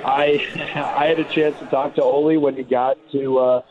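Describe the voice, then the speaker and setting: A man's voice: medium pitch, fairly even intonation, moderate pace, a little mellow. A man talking sports as a radio play-by-play guest, conversational and wordy, with a folksy, self-deprecating way. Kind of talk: conversational sports interview commentary. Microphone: phone. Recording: muffled, band-limited phone line.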